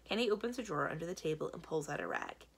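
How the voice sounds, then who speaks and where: A woman's voice: lowish voice, lively intonation, racing, vocal fry, slightly rough. A woman reading a children's book aloud as a library storyteller, narrating expressively.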